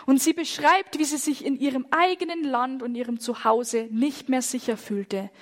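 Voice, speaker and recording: A woman speaking in a church, telling moving stories with an earnest tone and dropping to a hush at the gravest moments. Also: high voice, moderate pace. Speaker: church speaker on persecuted Christians. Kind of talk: sermon-style testimony.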